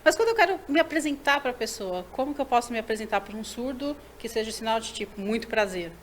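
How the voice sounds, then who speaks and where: A woman's voice: on the high side, lively intonation, fast, slight background noise. A woman hosting a TV talk show, asking an interpreter curious and enthusiastic questions. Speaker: TV show host. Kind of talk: interview questions, casual chat.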